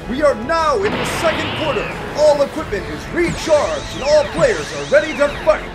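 A performer playing an excitable, gleefully mean arena announcer in a cartoon parody, showy and exclaiming. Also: sits high, moderate pace. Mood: excited. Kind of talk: cartoon parody sports commentary. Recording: loud music behind the voice.

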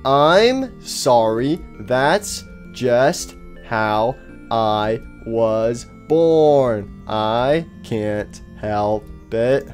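Plodding, blunt animatronic, played by a man, very slow word-by-word delivery, long gaps, comedy skit. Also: medium pitch, lively intonation, faint music behind. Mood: slow, apologetic. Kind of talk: amateur comedy skit voice acting.

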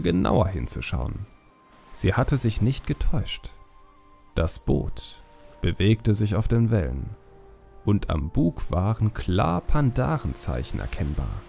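A man narrates a fantasy story in dramatic audiobook style. His voice is fairly low and creaky, moving expressively up and down. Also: darkish tone.

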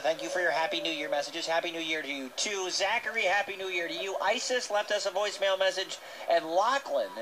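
A man hosts a radio show in a very animated voice, his pitch moving up and down freely. He chats casually with listeners. The sound is noisy, like radio played back off a speaker. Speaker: radio host.

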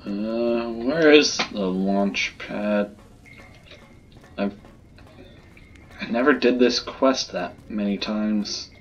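A man's voice: lowish voice, very slow, pauses often, dull audio. A man giving casual gameplay commentary, muttering to himself as he plays. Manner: muttering to self. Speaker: gamer doing a playthrough.

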